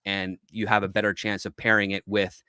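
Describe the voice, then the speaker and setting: A man's voice: on the low side, level tone, brisk, pushed and bright, smooth, dry recording, clean studio sound. A man giving casual, opinionated commentary as a panelist in a discussion.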